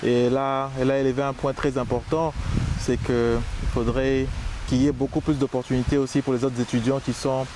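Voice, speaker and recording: A man with a Congolese French accent speaking earnestly about education, halting with fillers. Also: mid-range voice, little pitch movement, noisy background. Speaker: agency founder, guest.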